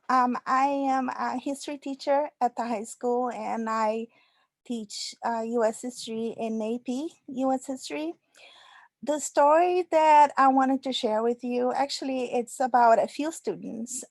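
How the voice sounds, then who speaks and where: A woman who teaches high school history, telling a classroom story on an online panel, with fillers. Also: no echo.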